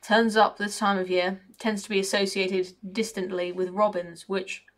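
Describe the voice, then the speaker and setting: A woman voicing a cynical, chatty male raven who grumbles in rough, colloquial speech. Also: mid-range voice, level tone, normal speed, close to an everyday voice. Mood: sardonic.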